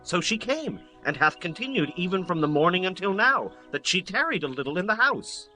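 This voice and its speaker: A dutiful overseer of reapers from a Bible drama, played by a man. His voice is high and very lively, with a formal, deferential way of reporting. Faint music sits underneath. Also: a little fast, full character voice. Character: dutiful male servant overseeing field reapers. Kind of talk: dramatized scripture audiobook acting.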